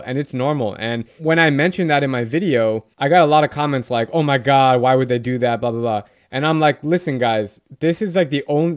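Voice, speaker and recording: A male podcast host chats casually with his guest, enthusiastic and a bit rambling as he tells his stories. His voice is smooth and resonant, with a mid-range pitch. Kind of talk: casual interview chat.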